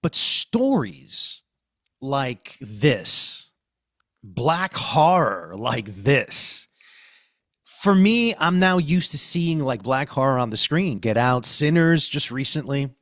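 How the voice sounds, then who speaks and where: Podcast host, American male, lively swooping delivery, emphatic presenter style, dry sound. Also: mid-range voice, slow pace, dry recording. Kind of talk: scripted podcast presenting and discussion.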